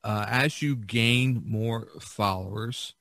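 A man's voice: lowish voice, takes it slowly, creaky, fairly bright tone, dry recording, studio quality. A middle-aged American man hosting a podcast in a casual, conversational way. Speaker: podcast host.

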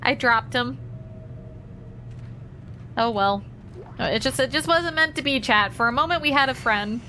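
A woman's voice: high voice, expressive, unhurried, sharp tone, a little hiss or hum. A young woman streaming a game, chatting playfully with her chat as she plays. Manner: playful, chatty, talks to chat while playing. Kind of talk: casual gameplay commentary to chat.

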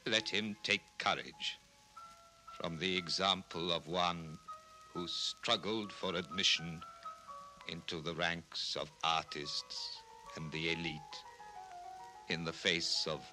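A brooding, anguished man of music in a deep, forceful voice. He declaims slowly and gravely, as in a monologue on a 1960s children's story record, with music underneath. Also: steady tone, full character voice, poor audio. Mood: solemn pleading. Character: brooding, solemn composer voicing his anguished testament.

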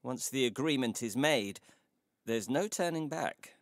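An actor playing a courteous, mysterious old tailor in an audio story, speaking in a formal, deliberate way. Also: male voice, mid-range voice, animated, dry recording, studio quality. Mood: grave, measured. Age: older. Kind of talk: dramatised audio short story acting.

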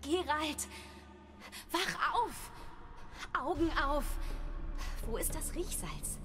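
An actress playing a sharp-tongued young woman healer who demands answers, in a video game dub. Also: sits high, expressive, drawn-out words, noisy background, heavily acted. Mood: impatient. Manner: impatient, demanding. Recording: music underneath.

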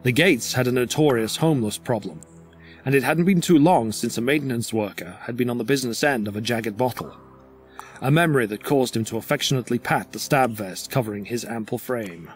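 A man's voice: medium pitch, a little fast. A man giving scripted narration for a horror audio drama. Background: music.

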